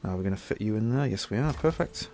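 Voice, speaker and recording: A man's voice: lowish voice, animated, fast, warm tone, faint music behind. A young man with a stuffy nose from a cold, chatting easygoingly as he plays a game and thinks aloud. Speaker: gaming channel host. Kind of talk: casual gameplay commentary.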